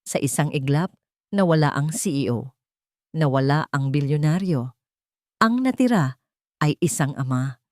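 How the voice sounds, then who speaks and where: A woman's voice: low-pitched, animated, unhurried, pauses often, a little mellow, no echo, clean studio sound. A woman narrating a scripted romance story in a dramatic storytelling style.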